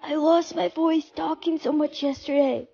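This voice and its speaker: A woman's voice: high voice, fairly even intonation, normal speed, gravelly, dark tone, dull audio. A woman voicing a distressed teenage girl with a strained, worn-out voice, in a melodramatic comedic skit. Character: distressed teenage girl who has lost her voice.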